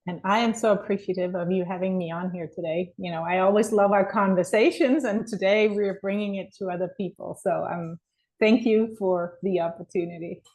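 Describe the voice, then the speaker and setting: A woman's voice: medium pitch, fairly even intonation, a little fast, darkish tone, rough recording. A middle-aged woman from Denmark, a mindset coach, telling her story earnestly as a podcast guest. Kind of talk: podcast interview.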